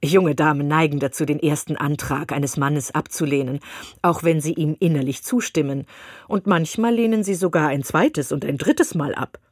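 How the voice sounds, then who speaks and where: A woman reading as a pompous male clergyman from a classic novel, long-winded and condescending. The voice sits low and slightly husky. The sound is dry and close. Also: normal speed, no echo. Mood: patronizing. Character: pompous self-satisfied male clergyman suitor.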